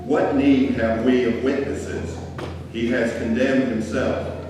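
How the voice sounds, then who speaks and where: A man playing a stern, dismissive high priest in a solemn dramatic scripture reading. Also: flat delivery, takes it slowly, natural voice. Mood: accusing. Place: large church during a service. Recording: echoey church hall, distant, noisy.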